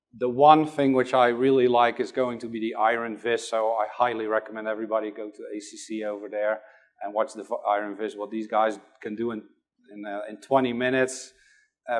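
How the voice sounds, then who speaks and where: A middle-aged man from the Netherlands with a Dutch accent, giving a conference talk and hesitating often with fillers. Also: medium pitch. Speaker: conference presenter.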